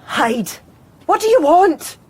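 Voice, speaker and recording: A woman's voice: lively intonation, measured pace, slightly rough. An actress playing a defiant Victorian woman in a gothic radio drama, biting and sarcastic towards a villain. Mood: irritated. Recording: faint rumbling background noise.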